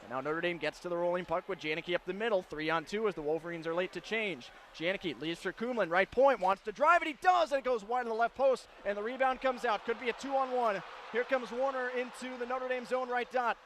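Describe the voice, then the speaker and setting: Male hockey play-by-play announcer, high register, crisp detailed calls, live broadcast with background arena noise. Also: brisk, fairly bright tone. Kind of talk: live ice hockey commentary.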